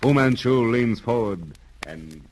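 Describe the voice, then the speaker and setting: A man narrating a 1930s radio serial from a script, with formal, dramatic, deliberate phrasing. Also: mid-range voice, expressive, a little hiss or hum. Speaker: announcer and narrator. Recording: vintage radio recording with music behind. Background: music.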